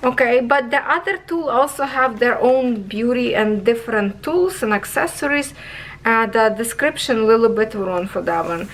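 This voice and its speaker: Woman with a mid-range voice, unhurried, chatty craft reviewer in non-native English who keeps asking viewers "okay?". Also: mid-range voice, fairly bright tone, a little hiss or hum, poor audio. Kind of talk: casual product review.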